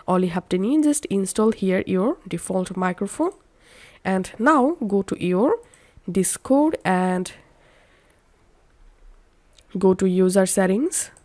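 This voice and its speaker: A woman narrating a how-to software tutorial as its host, instructional with slightly halting phrasing. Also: mid-range voice, animated. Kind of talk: step-by-step software tutorial voice-over.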